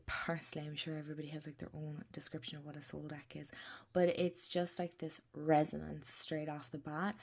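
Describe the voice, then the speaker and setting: A woman chatting casually to camera about her tarot decks, croaky from a head cold, rambling with laughs and soft murmured asides. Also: low-pitched. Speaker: tarot deck vlogger. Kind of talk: casual vlog chat to camera. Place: indoors at a desk.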